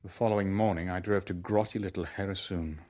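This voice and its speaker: An actor playing a guarded middle-aged businessman who narrates his own spy story in a radio drama, in a measured, careful manner. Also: deep voice, steady tone, darkish tone, natural voice. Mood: reflective narration. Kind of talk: radio drama dialogue and first-person narration.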